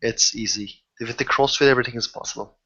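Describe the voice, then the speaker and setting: A man who haltingly searches for words as he improvises in a casual tabletop roleplaying session. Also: mid-range voice, pushed and bright, lo-fi sound. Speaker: game master or player in a tabletop roleplaying session.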